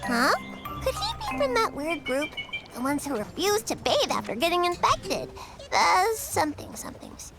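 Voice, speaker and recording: Tiny, squeaky, cheeky young creature. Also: female voice, huge pitch swings, normal speed, exaggerated voice. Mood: curious. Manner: perky, childlike, teasing. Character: cheeky, eager young plush creature, chirpy helpful villager. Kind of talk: video game character acting. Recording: loud game music behind the voice.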